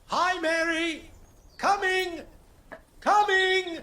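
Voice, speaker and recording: An actor playing an evasive, offhand American husband in a radio play ghost story, with a husky voice and brightly reassuring manner. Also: male voice, very high-pitched, steady tone, drawn-out words, exaggerated voice. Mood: calling out. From New York.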